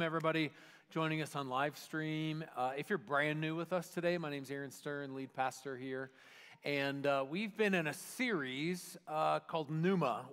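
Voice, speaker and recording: A man who is lead pastor, welcoming the congregation and interviewing a guest in a casual, halting way. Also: a little high, fairly bright tone. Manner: casual, many fillers and restarts. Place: church sanctuary. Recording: hall reverb.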